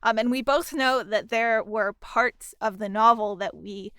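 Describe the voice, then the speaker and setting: A woman's voice: on the high side, a little slow, fairly bright tone, smooth, no room sound, studio quality. A woman chatting casually as she interviews a colleague, as a podcast host and sound designer.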